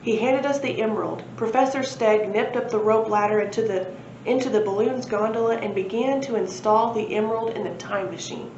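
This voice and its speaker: A woman reading a children's storybook aloud in an expressive narrating style, as a library presenter. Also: fairly high pitch, fairly quick. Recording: roomy, dull lo-fi, faint noise.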